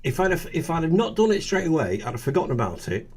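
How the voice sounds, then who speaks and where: An animated man in his fifties with a slightly husky voice and lively swings in pitch. He talks chattily as a show co-host, often restarting his sentences. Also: fast. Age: 50s. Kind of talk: casual chat.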